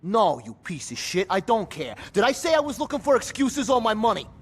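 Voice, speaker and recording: A ruthless loan shark with a high, animated voice. He is contemptuous and threatening, in video game style, over a faint rumble. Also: a little fast. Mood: angry, threatening. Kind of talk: video game cutscene acting.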